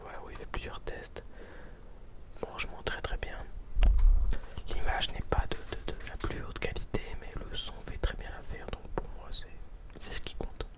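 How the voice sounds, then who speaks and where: A young adult student whispering a casual ASMR chat, hesitant and full of restarts. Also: normal speed. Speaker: ASMR video maker.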